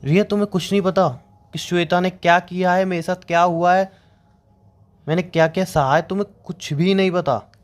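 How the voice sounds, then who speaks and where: An actor as a wronged young man in a phone-call drama, pleading to be heard. His voice sits a little high, and he defends himself with aggrieved, earnest insistence in casual speech. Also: fairly even intonation, moderate pace, close to an everyday voice. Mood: defensive, hurt.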